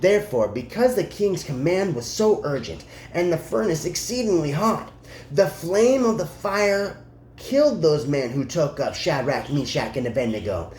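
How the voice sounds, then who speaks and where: A dramatic Bible reader with a soft, mellow tone and very animated intonation. The delivery is deliberate and emphatic, broken into short phrases. The room adds noticeable echo around the voice. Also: medium pitch. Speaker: scripture reader. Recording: roomy echo, faint background noise.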